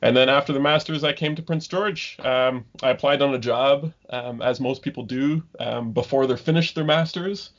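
A man in his thirties from Ontario, a guest scientist talking casually in an interview, halting with many ums and restarts. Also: medium pitch. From Ontario, Canada. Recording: dull lo-fi remote call audio.

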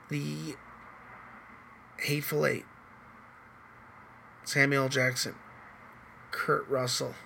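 A hoarse man hosting a podcast in loose, rambling casual chat, swearing now and then. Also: medium pitch, bright, forceful tone, slight background noise. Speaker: podcast host.

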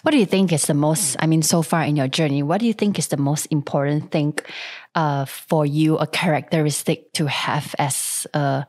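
A woman interviewer with a notably low voice asks long, rambling questions. She chimes in often with yeahs to back up the guest. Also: moderate pace, darkish tone. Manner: chatty, with many affirming yeahs. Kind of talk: casual interview chat.

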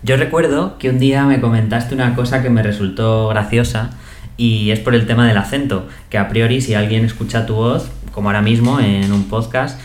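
A man's voice: fairly low pitch, roomy sound. A man hosting a podcast, friendly and easygoing, asking questions and summing up what his guest says. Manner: friendly, asks questions and sums up. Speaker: podcast host. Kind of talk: casual podcast interview.

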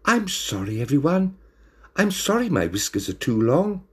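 A man's voice: sits high, big pitch swings, a little slow, gravelly, a little mellow, voice pushed up, exaggerated voice. A man voicing a timid, polite, easily flustered little whiskered animal in a storybook, with a plaintive manner. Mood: sad.

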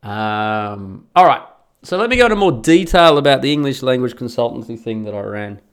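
A man's voice: medium pitch, lively intonation, normal speed, smooth. An Australian man talking in a blunt, chatty way, with lots of restarts and the odd swear word, giving advice in a casual monologue.

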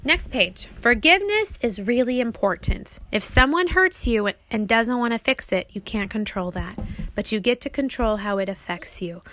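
A woman with a higher-than-average voice reads aloud as an author narrating her own audiobook. She puts things simply, as a parent would for young listeners. The sound is very dry, with next to no room echo. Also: lively intonation, moderate pace, fairly bright tone. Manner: explains simply, as to young children. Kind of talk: audiobook reading with questions to children.

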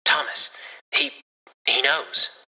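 Casting: actor for anxious loyal male friend in audio fiction; piercingly forceful, stammering, earnest, heard in long reverberation. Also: on the low side, little pitch movement, normal speed. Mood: panicked.

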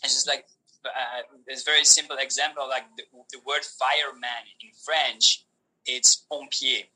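A man whose first language is Polish, talking as a podcast guest in an animated way, with many restarts and fillers. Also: mid-range voice, moderate pace, poor audio. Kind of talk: casual conversation.

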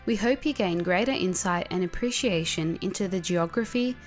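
A woman's voice: on the low side, normal speed, soft background music. A woman presenting a series, reading a formal, scripted introduction to a segment.

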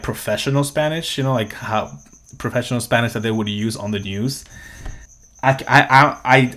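A young man chats alone on his podcast in a loose, wandering way, with a slightly low voice. He swears freely and laughs now and then as he talks. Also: fairly bright tone. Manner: rambling, profane, laughs often. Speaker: podcast host. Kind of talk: casual solo podcast chat.

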